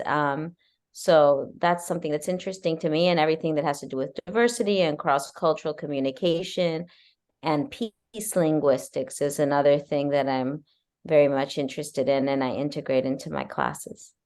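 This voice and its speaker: A woman's voice: deep voice, darkish tone. A middle-aged woman from Argentina and the United States, a professor talking informally and keenly about her work on a video call. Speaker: university professor. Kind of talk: conversational self-introduction on a webinar.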